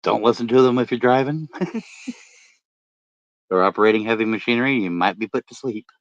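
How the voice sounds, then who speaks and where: A middle-aged man with a deep voice, chatting casually late at night and rambling with many fillers and restarts. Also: moderate pace, no room sound. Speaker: podcast host and ham radio vlogger. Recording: dull lo-fi dry sound.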